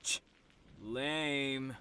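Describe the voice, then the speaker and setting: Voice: medium pitch, very slow, noisy background. An actor playing a brash, cocky teenage rule-breaker in an audio drama, with slangy, sarcastic teasing.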